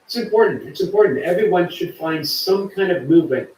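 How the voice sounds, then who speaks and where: A man's voice: sits high, lively intonation, a little fast, reverberant, recorded from a distance, slight background noise, low-quality recording. A man hosting a live stream, chatting casually and reading viewer comments aloud. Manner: chatty, warm to viewers, reads chat aloud. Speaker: livestream host.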